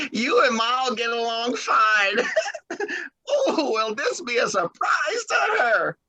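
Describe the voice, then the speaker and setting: An actor playing a cheerful, eager young husband. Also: male voice, extremely high pitch, big pitch swings, drawn-out words, noisy background, exaggerated voice. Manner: eager and stammering. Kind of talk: old-time radio drama acting.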